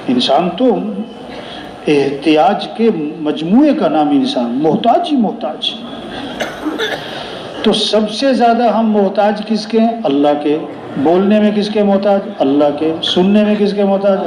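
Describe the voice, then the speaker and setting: Echoey, high-pitched male preacher. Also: mellow. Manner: repeats phrases for emphasis; rhetorical question and answer. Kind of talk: sermon with moral counsel and storytelling. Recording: echoey, reverberant room sound.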